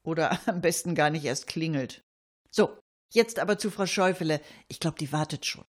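A woman's voice: low-pitched, moderate pace. A woman hosting a radio show, giving humorous scripted commentary with wry, dry humour.

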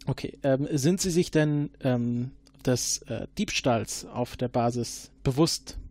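A man's voice: medium pitch, slow, a little mellow. A man playing an investigator in a tabletop game, hesitant with frequent fillers. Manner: hesitant, many fillers, interrogating. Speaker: player.

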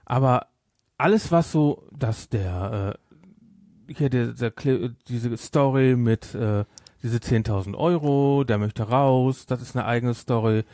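A man's voice: medium pitch, slow pace, darkish tone, dry recording, clean studio sound. A man hosting a podcast, discussing a story casually and emphatically, often repeating and rephrasing his points. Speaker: podcast host. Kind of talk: casual discussion, reviewing an audio drama.

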